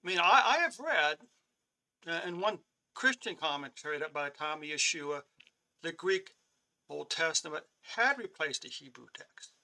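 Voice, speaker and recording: Male Bible teacher, high and very bright voice, halting delivery, clears his throat often. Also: lively intonation. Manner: checks in with "okay?" often; reads from on-screen notes and explains them. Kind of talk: live-streamed Bible lesson.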